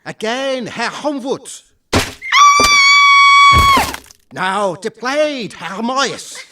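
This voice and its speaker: A man playing a grave, commanding professor and vampire hunter with a German accent, formal and deliberate, in a gothic horror drama. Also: very high-pitched, lively intonation, heavy background noise, full character voice. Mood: urgent command.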